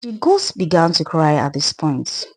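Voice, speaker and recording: A woman's voice: low-pitched, wildly swooping pitch, measured pace, slightly rough. A woman with a Nigerian accent narrating a folktale as a storyteller.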